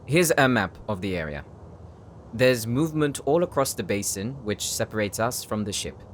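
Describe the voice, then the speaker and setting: A man's voice: lowish voice, animated, moderate pace, background noise, close to an everyday voice. A man playing a polite, articulate demon hunter who likes to show off in a fantasy audio drama. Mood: explanatory, confident. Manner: courteous, articulate, explanatory. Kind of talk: fantasy audio roleplay acting. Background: music.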